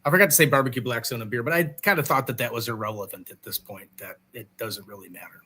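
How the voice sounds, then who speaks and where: A man's voice: medium pitch, fast, pushed and bright, a little hiss or hum. A man co-hosting a casual livestream chat show, joking and self-deprecating in banter with his co-host.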